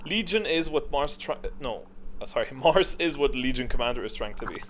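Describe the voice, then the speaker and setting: A man's voice: mid-range voice, expressive, fairly quick, fairly bright tone, a little hiss or hum. A man giving casual off-the-cuff gaming commentary, rambling as he thinks aloud and corrects himself. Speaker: video creator and gamer. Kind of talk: casual off-the-cuff commentary ranking game characters.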